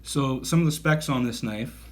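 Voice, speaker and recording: A man's voice: medium pitch, normal speed. A man in his thirties giving a casual gear review, talking in a rambling, self-correcting and enthusiastic way. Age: 30s. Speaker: knife reviewer.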